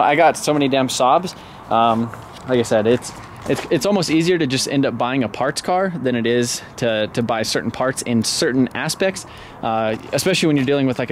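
A man's voice: mid-range voice, slight background noise. A man in his thirties filming himself with a handheld camera, walking around cars on an outdoor lot and rambling casually with lots of ums and restarts. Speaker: car vlogger. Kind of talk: casual walkaround vlog. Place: outdoor salvage car lot, then a garage shop. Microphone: handheld camera at arm's length.

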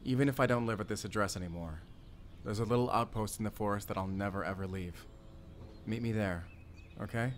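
A man's voice: fairly low pitch, a little fast, a little hiss or hum, close to an everyday voice. A man playing a hurt, pleading, lovelorn young man in an audio roleplay. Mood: sad, resigned.

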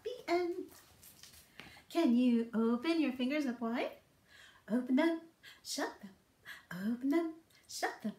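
A woman's voice: high voice, takes it slowly, pauses often, warm tone. A middle-aged woman leading a toddler storytime with read-alouds, songs and rhymes in a playful, encouraging, singsong way. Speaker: children's storytime librarian host. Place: small book-lined office. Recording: roomy indoor echo.

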